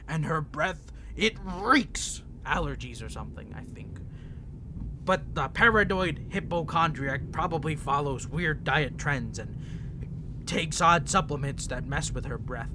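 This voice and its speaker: A man voicing an insane, gleeful vampire prisoner with a grating voice, taunting theatrically in an audio roleplay. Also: noisy background. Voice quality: sharp, grating voice modeled on a famously shrill comedian. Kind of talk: acted ASMR audio roleplay.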